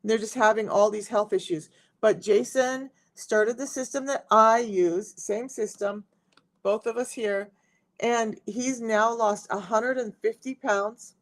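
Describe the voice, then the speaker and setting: A middle-aged woman with a raspy voice, chatting casually to viewers on a live stream as a health coach. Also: a little high. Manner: chatty, talks straight to viewers.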